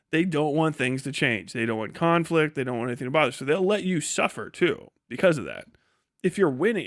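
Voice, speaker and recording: Higher-voiced man riffing on a livestream. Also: no room sound, clean studio sound. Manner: animated slangy delivery, acting out imagined dialogue; often chuckles mid-sentence. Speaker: livestream host and political commentator. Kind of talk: casual, rambling live commentary.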